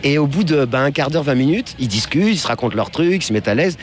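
A man's voice: mid-range voice, animated, fast talker. A middle-aged man, a musician guest on radio, telling anecdotes in a casual, slangy, colloquial way. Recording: faint music under the voice.

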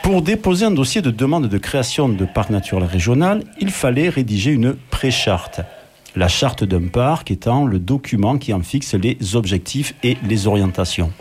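A man's voice: mid-range voice, big pitch swings, moderate pace, a little mellow, a little hiss or hum. Wry older man reading a radio column. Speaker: radio columnist telling a personal story.

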